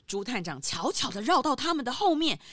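A woman's voice: high voice, big pitch swings, a little fast, a bit bright, no room sound. A woman narrating a scripted children's story as the narrator.

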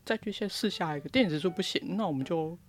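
A woman's voice: fairly low pitch, fast talker, soft background music. A woman with a Taiwanese accent chatting casually on a podcast in a playful, teasing, joking manner.

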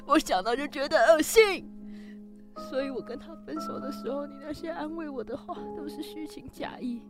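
A woman's voice: sits high, moderate pace, over music, close to an everyday voice. A woman acting a bitter, betrayed woman who accuses her friend in a melodramatic audio drama. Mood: disgusted, hurt.

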